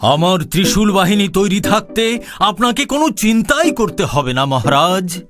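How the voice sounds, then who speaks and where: A man doing a high-voiced boastful commander. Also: very animated, normal speed. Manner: proud, scornful. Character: boastful royal army commander. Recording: voice over loud background music. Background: music.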